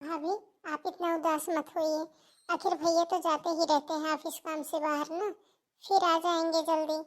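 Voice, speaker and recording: A woman's voice: very high voice, flat delivery, moderate pace. A woman playing a meek, hard-working young sister with a polite, pleading manner in a Hindi cartoon moral story. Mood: worried, polite.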